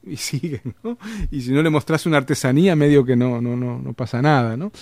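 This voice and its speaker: Interview guest: Argentine man, mid-pitched, chatty storyteller who circles back and restarts, recorded dry. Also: fairly even intonation, brisk, darkish tone, no room sound. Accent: Argentine Spanish. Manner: rambling, with frequent restarts and tag questions. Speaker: interviewed author. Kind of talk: casual conversational storytelling.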